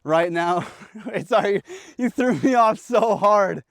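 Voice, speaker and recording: A man's voice: sits high, takes it slowly, gravelly, dry recording, studio quality. A young man hosting an archery vlog outdoors on public land, hyped and slangy, talking to the camera. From Pacific Northwest of the US. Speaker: vlogger. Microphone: action camera.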